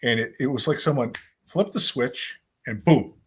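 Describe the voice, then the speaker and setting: A man in his fifties, a guest author, telling his stories in a rambling, animated way with lots of fillers. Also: mid-range voice, moderate pace, slightly rough. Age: 50s. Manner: animated, rambling storyteller with many fillers and restarts. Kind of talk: casual storytelling in a podcast interview.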